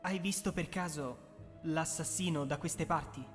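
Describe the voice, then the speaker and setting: A woman's voice: low-pitched, a little slow, soft background music. A woman voicing a foul-mouthed, exasperated boy wizard with a Sicilian accent, melodramatic, in an amateur parody dub. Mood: insistent.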